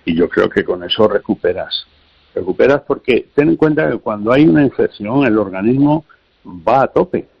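A man's voice: on the low side, animated, normal speed, creaky, husky, dull audio. A man giving health advice on a call-in radio show, hesitant and repeating small words as he explains.

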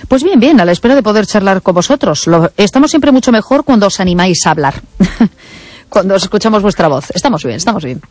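A woman's voice: mid-range voice, lively intonation, fast talker, a little mellow, dry recording. A woman with an accent from Spain, hosting a radio phone-in in a chatty, casual way with frequent listening murmurs. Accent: Spanish (Spain). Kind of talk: casual radio chat with a caller. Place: radio studio.

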